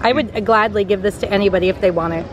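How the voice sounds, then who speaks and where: A woman's voice: medium pitch, fast talker. A woman in her thirties chatting casually on a vlog at a theme park, candid and a little hesitant, recorded on a handheld camera. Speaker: vlogger. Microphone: handheld camera at arm's length. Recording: outdoor park with music and crowd noise. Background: crowd and park music.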